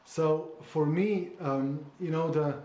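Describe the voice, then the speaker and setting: A man whose first language is Slovene talks about writing his memoir, pausing often and searching for precise words. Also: on the high side, steady tone, dark tone, reverberant, lo-fi sound. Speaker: memoir author. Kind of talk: conversational interview.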